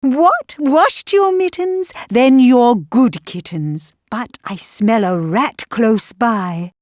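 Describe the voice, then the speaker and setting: Woman as a high-voiced scolding mother cat. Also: expressive, slow, darkish tone, dry recording, studio quality. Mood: brisk, fond. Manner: exclaiming, admonishing. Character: scolding mother cat, stern but fond.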